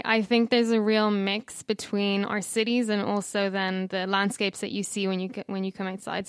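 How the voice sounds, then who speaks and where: A woman's voice: medium pitch, clear, resonant tone, no room sound, studio quality. A woman in her thirties from Dublin with an Irish accent, chatting easily as a podcast guest about home. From Ireland. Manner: chatty, warm storytelling with fillers. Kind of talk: casual interview chat.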